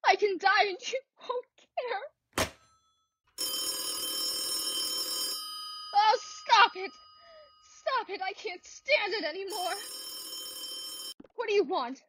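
A woman's voice: very high voice, slow, pauses often, fairly bright tone, a little hiss or hum, exaggerated voice. A woman playing a frantic, demanding bedridden wife in a radio thriller, with an indignant, melodramatic manner. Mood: desperate, agitated. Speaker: actress in a student radio play. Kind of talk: theatrical radio thriller acting.

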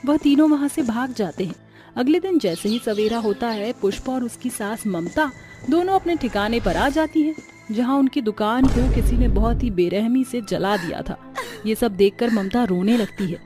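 A woman's voice: fairly high pitch, lively intonation, normal speed, music in the background. A woman narrating a scripted story as its storyteller.

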